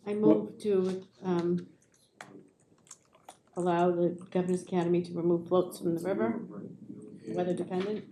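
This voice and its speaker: A woman's voice: on the low side, steady tone, normal speed, long pauses, warm tone, echoey room, slight background noise. A woman on a town select board making brief, formal motions. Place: town meeting room.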